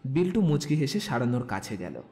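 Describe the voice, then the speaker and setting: Male story narrator, scripted delivery, warm dark tone, animated intonation. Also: medium pitch, brisk.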